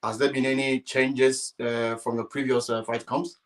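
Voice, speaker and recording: Guy hosting a sports interview, kinda halting, feels his way into each question. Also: mid-range voice, flat delivery. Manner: hesitant, halting, circles around questions.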